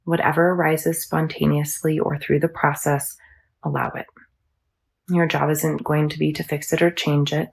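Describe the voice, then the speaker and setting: Husky, creaky woman guiding meditation. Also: sits low, level tone. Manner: soothing, measured, instructional. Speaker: yoga nidra teacher. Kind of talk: guided meditation.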